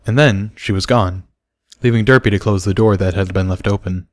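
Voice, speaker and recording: A man's voice: lowish voice, fairly even intonation, brisk, no room sound, clean studio sound. A man reading a story aloud as scripted narration for an audiobook. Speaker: audiobook reader of fan fiction.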